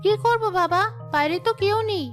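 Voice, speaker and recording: A woman's voice: very high-pitched, fairly quick. A woman voicing an obedient, eager young village daughter in a cartoon story. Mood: dutiful, excited. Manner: dutiful, eager. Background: music.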